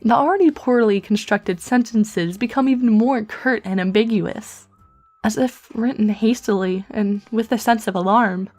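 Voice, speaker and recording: A woman's voice: fairly high pitch, normal speed, a little mellow, lightly acted. A woman playing a dry, composed, verbose teenage girl with wry, articulate delivery in a fan webcomic dub. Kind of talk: fan comic dub acting.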